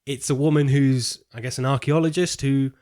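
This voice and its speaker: A man's voice: medium pitch, fairly even intonation, moderate pace, mellow. A man co-hosting a podcast, discussing films casually and thinking aloud, often repeating a word as he goes. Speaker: podcast co-host.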